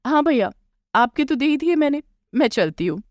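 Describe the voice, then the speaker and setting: A woman puts on a sly young daughter-in-law for a family moral tale. She is envious and scheming, sugary when she needs to be, with very animated intonation. The recording is dry and close. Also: high voice, normal speed, dry recording, highs cut off, pitched above the performer's own voice. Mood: falsely sweet.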